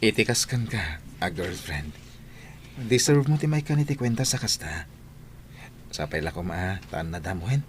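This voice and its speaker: A man's voice: low voice, expressive, moderate pace, a little hiss or hum. A man acting a smitten, earnest young boyfriend in a romantic audio drama, with an Ilocano accent. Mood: affectionate.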